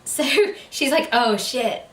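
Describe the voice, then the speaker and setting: A woman co-hosting a book review channel, chatting casually in an animated, incredulous way. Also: a little high, slow pace, far from the mic. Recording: echoey room, away from the mic.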